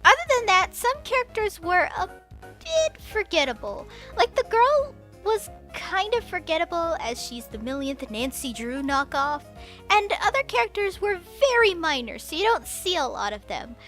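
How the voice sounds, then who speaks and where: A young woman reading a scripted movie review as a voice-over, enthusiastic and expressive with casual slang. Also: extremely high pitch, moderate pace, soft background music.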